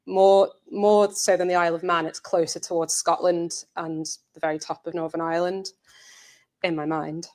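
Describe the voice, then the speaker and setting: A woman's voice: on the low side, level tone, moderate pace, a little mellow. A woman giving an informal presentation as writer, earnest and hesitant in her delivery.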